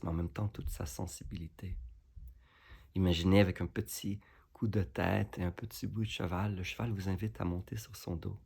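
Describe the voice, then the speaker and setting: Deep, gravelly man guiding relaxation. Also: a little mellow. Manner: soothing, unhurried instructions with pauses. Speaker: yoga nidra instructor. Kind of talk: guided relaxation meditation, speaking to listeners.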